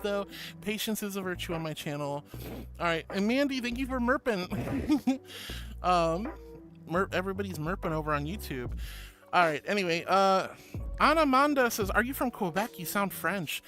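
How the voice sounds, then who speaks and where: A woman's voice: medium pitch, a bit bright. A woman streamer chatting casually with her viewers, reading their comments aloud and calling them darling, honey and sweetie with a playful, giggly manner. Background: background music.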